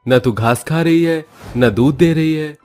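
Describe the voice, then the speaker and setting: A man's voice: on the high side, measured pace, a little mellow, faint music behind, pitched above the performer's own voice, natural voice. A man voicing a fretful village goat herder in a cartoon, fussing over a sick goat. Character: worried middle-aged male village goat herder.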